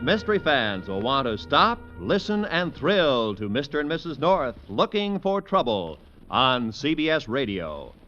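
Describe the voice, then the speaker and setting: A man's voice: medium pitch, fairly bright tone. An American man reading scripted credits and promos as a polished, punchy 1950s radio announcer. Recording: dull vintage radio, faint music.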